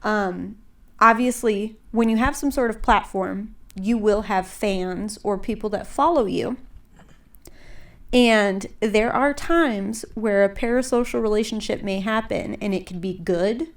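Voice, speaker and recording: A woman's voice: medium pitch, measured pace. A young adult woman hosting a podcast in casual chat, a little congested, rambling with many fillers. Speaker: podcast host.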